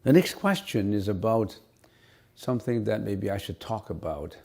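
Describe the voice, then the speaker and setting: A man with a Cantonese mother tongue teaches Buddhism in an unscripted talk, his voice deep and dark in tone. He pauses often, repeats words and fills gaps while he searches for the next phrase. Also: animated, creaky. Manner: halting, many fillers and restarts.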